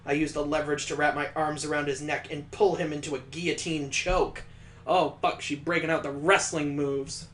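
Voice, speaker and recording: A man's voice: a little high, level tone, moderate pace, a bit bright. Joking man reading a visual novel aloud. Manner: crude, joking asides and mock sound effects. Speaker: streamer.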